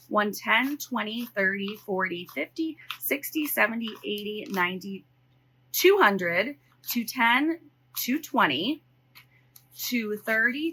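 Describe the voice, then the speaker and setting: A woman's voice: medium pitch, pushed and bright, breathy edge, rough recording. A middle-aged American woman chatting casually and unscripted to viewers as a budgeting vlogger, thinking aloud in her home office. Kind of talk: casual chat to viewers while counting cash.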